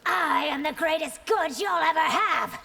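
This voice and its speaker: An actress playing an imperious yet devoted royal warrior woman in a fantasy fighting game, regal and measured. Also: high-pitched, vocal fry, loud music behind the voice. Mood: regal, intimate. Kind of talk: video game fighter intro dialogue. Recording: very loud background noise.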